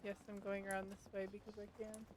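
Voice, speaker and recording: A woman's voice: mid-range voice, monotone, fast talker, noisy background. A woman live streamer chatting casually over a game, tossing out offhand, muttered asides. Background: game music.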